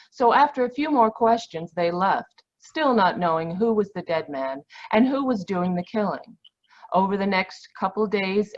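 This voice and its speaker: A woman acts as the storyteller of an old-time radio mystery. Her mid-pitched voice delivers scripted narration over a dull, lo-fi connection. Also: natural voice. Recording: dull lo-fi video-call sound.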